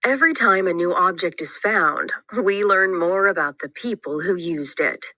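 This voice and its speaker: Bright-voiced woman reading a lesson aloud. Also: on the low side, normal speed, no echo. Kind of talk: scripted educational read-aloud narration.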